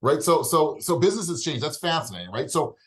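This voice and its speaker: Man asking halting interview questions. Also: fairly high pitch, lively intonation, fairly bright tone. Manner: halting, searching for words. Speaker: interview host.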